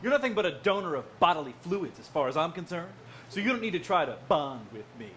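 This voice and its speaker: A man's voice: a little high, very animated, fast talker, fairly bright tone. A man playing a curt, resentful young scientist son in a parody stage play. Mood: hostile. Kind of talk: parody stage musical acting. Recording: live stage, some ambient noise.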